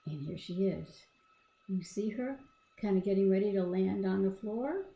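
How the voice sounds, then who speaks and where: A woman's voice: medium pitch, normal speed, vocal fry. Woman reading a picture book, echoey room. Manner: expressive, asking the listener questions. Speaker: storybook reader. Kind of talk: children's read-aloud with asides to young listeners.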